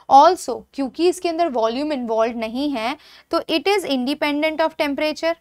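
A young woman with an Indian accent gives an online lecture in a high voice. She moves slowly, dictating in English and explaining in Hindi, and keeps checking that her students understand. The sound is dry, with little room in it. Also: fairly bright tone, dry recording. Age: young adult. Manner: addresses students affectionately, checks understanding often. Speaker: chemistry teacher. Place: indoor studio, seated before a digital board.

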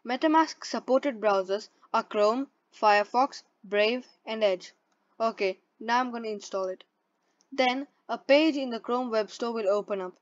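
Muffled lo-fi recording, woman, higher-pitched voice, scripted tutorial narration, plain instructional delivery. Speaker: tutorial presenter. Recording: dull lo-fi voice-over.

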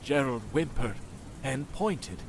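A man's voice: mid-range voice, lively intonation, takes it slowly, noisy background. A man giving dramatic scripted narration as the narrator of a horror story.

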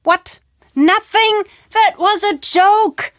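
A woman's voice: very high-pitched, very slow, fairly bright tone, no echo, studio quality, heavily acted. An actress playing a wry, fatalistic old woman storyteller in a video game, speaking dramatically. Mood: dry, teasing. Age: older. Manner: dramatic, emphatic storytelling with foreboding turns.

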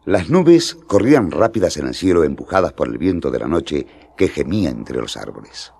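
A deep-voiced storyteller. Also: male voice, moderate pace, gravelly, slightly rough, full character voice. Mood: calm. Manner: calm storytelling. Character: male disciple telling the story. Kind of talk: scripted radio narration.

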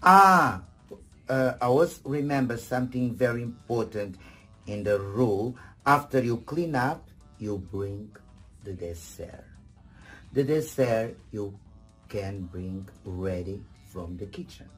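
A middle-aged man giving etiquette advice in a husky voice, theatrical and emphatic, often repeating phrases. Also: lowish voice, slow, fairly bright tone, soft background music. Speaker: etiquette host. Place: furnished sitting room indoors.

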